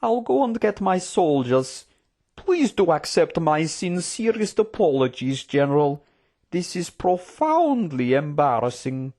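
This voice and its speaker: Narrator putting on a smooth-tongued scheming fantasy courtier, high-pitched. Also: big pitch swings, measured pace, a little mellow. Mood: indignant, apologetic. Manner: unctuous, formal, wordy.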